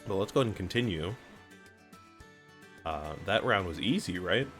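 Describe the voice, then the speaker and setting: Low-voiced man explaining a game. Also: normal speed, faint music behind. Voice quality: raspy, clears throat often. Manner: relaxed, explanatory, reads on-screen prompts aloud. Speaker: gaming streamer. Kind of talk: casual let's-play commentary.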